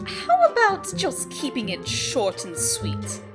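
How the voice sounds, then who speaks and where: A woman plays a sensible professor in an animated parody. The voice is high, unhurried and swings widely in pitch, casual and dryly sceptical, with music clearly underneath. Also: full character voice. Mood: wry, sceptical. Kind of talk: comedic fan-dub voice acting.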